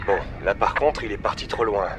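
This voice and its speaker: A man's voice: on the low side, a little fast, natural voice. A man playing a clumsy, casual, sweary submarine crewman in an audio drama. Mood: uneasy.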